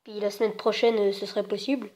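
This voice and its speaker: A woman's voice: medium pitch, level tone, fast, darkish tone. A woman making a prank phone call as a customer, hesitant and meekly insistent in her manner. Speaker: prank caller posing as a customer. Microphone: telephone. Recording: dull, band-limited phone line.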